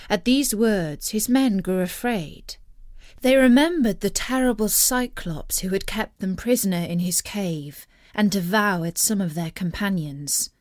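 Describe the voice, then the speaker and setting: A woman storyteller reads scripted narration with lively, varied intonation. She takes her time over each phrase, and the sound is dry, with no room echo. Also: mid-range voice. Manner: expressive, measured storytelling.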